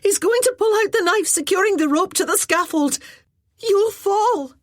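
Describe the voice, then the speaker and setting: A woman's voice: high-pitched, takes it slowly, darkish tone, dry recording, studio quality, pitched above the performer's own voice. A woman voicing a frightened but brave young bride, speaking in raised, urgent pleas.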